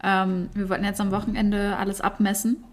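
A woman's voice: mid-range voice, level tone, a little fast. A woman co-hosting a casual chat podcast, chatty with lots of fillers and frequent laughter, a bit tired. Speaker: podcast co-host.

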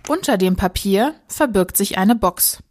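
A woman's voice: mid-range voice, animated, unhurried, heavy background noise, natural voice. A woman performing as the narrator of a children's radio play, telling the story from a script. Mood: narrating.

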